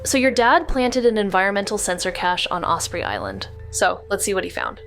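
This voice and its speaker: An actress playing a level-headed, analytical amateur detective in a noir audio drama, speaking in a measured, matter-of-fact way. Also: female voice, mid-range voice, animated, a bit bright, soft background music. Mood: grim, focused.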